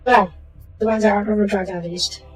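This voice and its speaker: A woman with a slightly hoarse voice, casually talking through a strategy game and muttering to herself as she plays. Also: mid-range voice, steady tone, a little fast, soft background music. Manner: muttering to herself while playing, trailing off into quiet asides. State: hoarse from a sore throat. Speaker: gaming channel host. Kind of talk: casual gameplay commentary.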